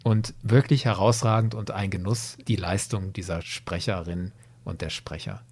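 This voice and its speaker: Male podcast host, low and husky, casual enthusiastic discussion. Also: fairly even intonation, moderate pace, darkish tone.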